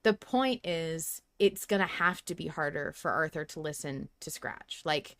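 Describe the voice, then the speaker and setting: A woman's voice: sits low, expressive, a little slow, fairly bright tone, no echo, clean studio sound. A woman hosting a podcast, talking casually in an emphatic, analytical way. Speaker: podcast host. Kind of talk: casual discussion on a fan podcast.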